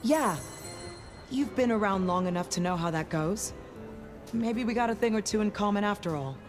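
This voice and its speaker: A woman's voice: mid-range voice, expressive, moderate pace, over music, lightly acted. An actress voicing a tough, cocky female vampire enforcer in a video game, brash and streetwise.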